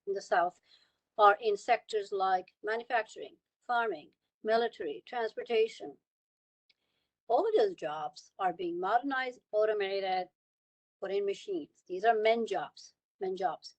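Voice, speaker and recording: A woman's voice: mid-range voice, a little mellow, low-quality recording. A woman from Iran speaking on a panel over a video call, earnest and repeating words for emphasis. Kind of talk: panel discussion remarks.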